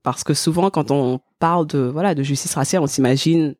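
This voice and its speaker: A woman co-hosting a podcast, speaking in a thoughtful, explanatory way and pausing to rephrase her ideas. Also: low voice, darkish tone, no room sound, clean studio sound. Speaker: podcast co-host. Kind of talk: casual conversational podcast.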